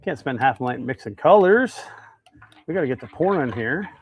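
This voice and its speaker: A man's voice: medium pitch, lively intonation, moderate pace, breathy edge. Folksy, easygoing American man. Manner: folksy, easygoing, thinks aloud while working. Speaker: host of a fishing lure making livestream. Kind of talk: casual live demonstration with chat to viewers.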